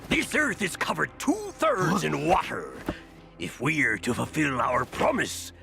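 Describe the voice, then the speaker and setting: An actor playing a haughty, grumbling sea king villain in a video game, with a gravelly, pompous and disdainful delivery. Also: fairly high pitch, very animated, measured pace, over music, heavily acted. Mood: indignant, haughty. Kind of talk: video game cutscene acting.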